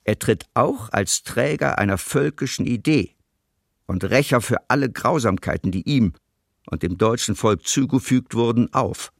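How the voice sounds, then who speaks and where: A man playing a ruthless German field marshal, reading a formal order coldly and deliberately in a radio play. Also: medium pitch, expressive, vocal fry, dry recording, clean studio sound. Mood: cold, stern.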